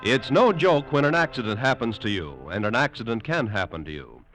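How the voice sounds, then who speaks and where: An American man announcing formally in scripted mid-century radio style, with measured, emphatic phrasing. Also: mid-range voice, very animated, music in the background.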